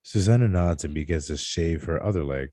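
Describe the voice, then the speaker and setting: Male narrator for a script read: very low, soft-edged, dry recording, slightly stumbling delivery. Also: fairly quick, no echo. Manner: stumbles over words and corrects himself. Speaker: reader of stage directions at a script table read.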